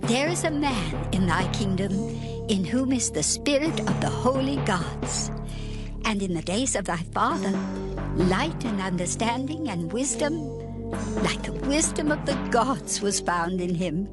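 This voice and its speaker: A woman's voice: medium pitch, lively intonation, a little slow, natural voice. A woman playing a stately queen who counsels a king in formal, declamatory archaic English, in a dramatized scripture reading. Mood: earnest, reassuring. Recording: loud music under the voice.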